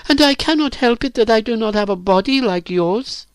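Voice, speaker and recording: Man voicing a high-pitched, earnest young woman. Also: animated, moderate pace, clean tone, no echo. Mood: wistful, timid. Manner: earnest, self-doubting. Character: timid, wistful young slave woman longing for love.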